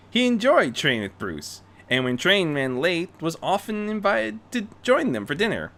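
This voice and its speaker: Very animated, bright-voiced male storyteller. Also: mid-range voice. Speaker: fan fiction narrator. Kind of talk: expressive scripted story narration.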